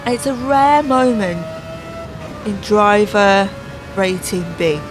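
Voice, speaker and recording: Slow, sarcastic woman losing her voice. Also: medium pitch, animated, background noise. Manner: sarcastic, exasperated. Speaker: gaming channel host. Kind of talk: racing game voice-over commentary. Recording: voice over clear background music.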